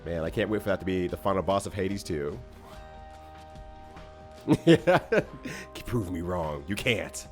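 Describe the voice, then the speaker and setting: A man's voice: sits low, animated, unhurried, pauses often. A man at a tabletop game, chatting casually in a jokey way as one of the players. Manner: laughs often, jokey. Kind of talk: casual joking chat. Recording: music playing behind the voice.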